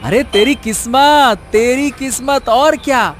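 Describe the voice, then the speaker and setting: A man voicing a bossy, scheming, foolishly hopeful villager who exclaims theatrically in a comic animated story. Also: extremely high pitch, slow, faint music behind. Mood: bossy, excited.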